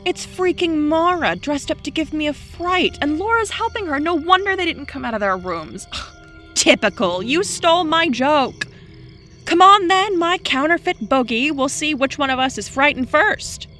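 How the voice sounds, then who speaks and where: A woman's voice: sits high, lively intonation, moderate pace, bright, forceful tone, soft background music. A woman acting a skeptical, sarcastic young prankster in an audio drama, with a dry delivery. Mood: indignant. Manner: dry, sardonic.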